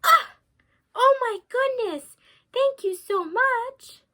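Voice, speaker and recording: A woman's voice: extremely high pitch, animated, slow pace, sharp tone, voice pushed up, exaggerated voice. A woman voicing a kindly old grandmother in a children's story, exclaiming fussily. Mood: relieved.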